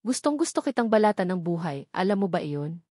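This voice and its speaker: A woman's voice: fairly low pitch, very animated, a little fast, mellow, no echo. Audiobook role: vengeful husband read by a woman, cruel, gloating, emphatic threats, close dry sound. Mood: sadistic gloating.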